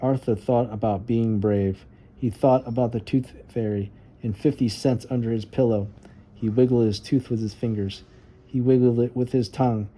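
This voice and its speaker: A man's voice: medium pitch, dark tone. A man narrating a children's storybook in a read-aloud style. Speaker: storybook reader.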